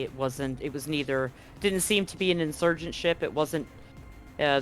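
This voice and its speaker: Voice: medium pitch, fairly bright tone. A game master narrating a tabletop roleplaying game in a conversational way, describing scenes and asking players what they do. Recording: music playing underneath. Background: music.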